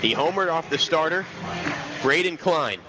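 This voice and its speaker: A man's voice: a little high, lively intonation, moderate pace, music in the background, low-quality recording. A young man calling live baseball play-by-play for radio, switching between clipped recaps of the count and flowing bits of detail. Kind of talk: live radio sports commentary. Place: outdoor baseball stadium broadcast booth. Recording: live outdoor broadcast with loud ballpark noise. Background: loud stadium ambience.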